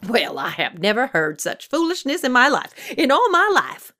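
A woman's voice: on the low side, lively intonation, unhurried, no room sound, clean studio sound. A woman voicing a feisty, demanding widow, in a told story. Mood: indignant. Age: 80s. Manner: folksy, demanding, scolding. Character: feisty, indignant old Southern woman, nearly eighty-seven.

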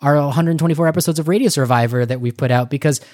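A man co-hosting a podcast, chatting casually in an excitable, effusive way. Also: on the high side, fast talker, no room sound, studio quality. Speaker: podcast co-host. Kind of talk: casual enthusiastic podcast chat.

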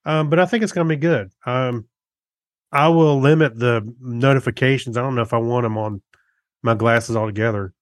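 A middle-aged man co-hosting a podcast, chatting casually and haltingly as he thinks aloud. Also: mid-range voice, no echo, clean studio sound. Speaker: podcast co-host. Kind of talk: casual chat about gadgets.

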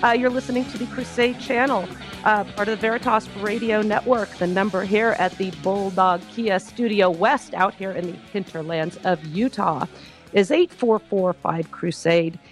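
Slightly hoarse woman radio host. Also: medium pitch, fairly even intonation, normal speed, soft background music. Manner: chatty, announcement-reading with frequent uh fillers. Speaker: radio talk show host. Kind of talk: call-in radio talk. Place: radio studio. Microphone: studio microphone.

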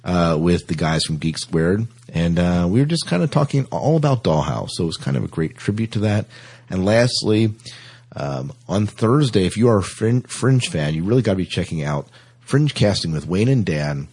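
A man casually hosting a science fiction podcast in a chatty, playful way, with a husky voice. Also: low-pitched, moderate pace. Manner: animated, playful. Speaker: podcast host.

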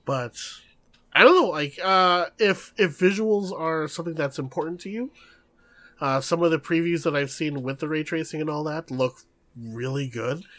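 A man co-hosting a casual gaming podcast, relaxed and hedging as he talks. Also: a little high, normal speed. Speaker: podcast co-host.

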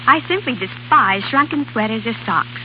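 A woman's voice: fairly high pitch, lively intonation, moderate pace, natural voice. A woman playing a chirpy, wholesome college freshman in a 1930s radio advertising playlet, with a gushing, slangy manner. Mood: cheerful. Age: young adult. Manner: bright-eyed, gushing, slangy. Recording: dull, faintly noisy 1930s radio recording.

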